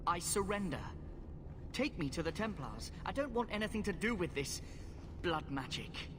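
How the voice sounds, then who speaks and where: An actor voicing a frightened, repentant young mage in a video game, anxious and halting. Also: male voice, high voice, sing-song. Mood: fearful. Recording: very noisy background ambience.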